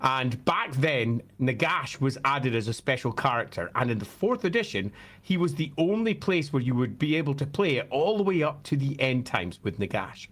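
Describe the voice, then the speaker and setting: A man with a slightly croaky, hoarse voice, talking casually and emphatically as a host explaining fan topics. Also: mid-range voice, big pitch swings, moderate pace, fairly bright tone.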